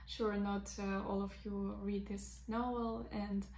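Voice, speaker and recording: A young Russian woman, a teacher of literature, talking to camera in English with a Russian accent, halting with many fillers and simple grammar. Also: medium pitch, flat delivery, a little mellow, reverberant, a little hiss or hum. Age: young adult. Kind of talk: casual talk to camera. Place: bright bedroom by big windows, indoors.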